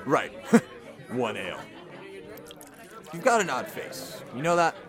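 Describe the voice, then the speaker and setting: A man playing a gruff bartender in a radio drama, brusque and sneering. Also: mid-range voice, sing-song, slow pace, over music, crowd noise. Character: gruff, mocking male tavern bartender.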